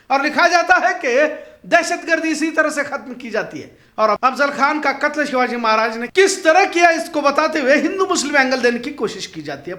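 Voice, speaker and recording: A man's voice: very high voice, pushed and bright. A man presenting an opinionated news commentary monologue in an emphatic, earnest and rhetorical way. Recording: echoey, roomy voice recording.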